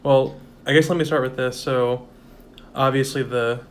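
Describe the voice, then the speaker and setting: Young guy hosting a chat, pretty monotone, friendly little reactions. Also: mid-range voice, normal speed, a little hiss or hum. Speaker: host. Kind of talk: casual interview.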